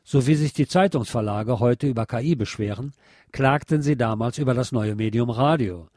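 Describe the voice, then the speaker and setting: A man narrating a scripted journalistic essay in a measured, formal read-aloud delivery. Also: medium pitch, a little mellow, no echo, clean studio sound.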